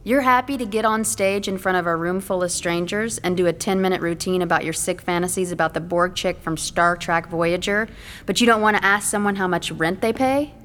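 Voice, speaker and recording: A woman's voice: lowish voice, fairly quick, fairly bright tone, a little hiss or hum, close to an everyday voice. A woman playing a practical, skeptical young girlfriend in an audio drama, with a dry, sarcastic, exasperated delivery. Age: young adult. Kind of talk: naturalistic audio drama dialogue.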